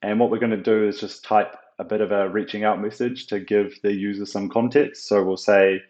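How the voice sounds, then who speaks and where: A man presenting a software tutorial as an unscripted screen-share walkthrough, halting often with ums and uhs. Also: fairly low pitch, steady tone. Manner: hesitant, halting explanation with frequent ums and uhs. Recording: roomy, echoing, slightly dull sound.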